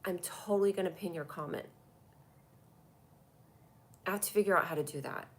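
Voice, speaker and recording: A woman's voice: on the low side, normal speed. A woman with a cold and a stuffy voice, hosting a comedy live stream and chatting through viewer comments on a tablet. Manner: chatty and rambling, reacting to comments. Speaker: comedy show host doing a live stream.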